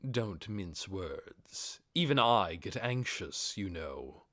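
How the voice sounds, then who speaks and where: Man voicing a measured, commanding undead overlord. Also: deep voice, animated, fairly bright tone, no echo, studio quality, exaggerated voice. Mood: cautious, stern. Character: undead overlord with red-spark eyes, cautious, gracious master. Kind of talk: audiobook character acting.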